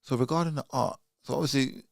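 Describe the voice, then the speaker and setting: A man's voice: medium pitch, fast talker, gravelly, a little mellow, no room sound, studio quality. A man hosting a podcast, interviewing his guest in a laid-back, encouraging way. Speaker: podcast host.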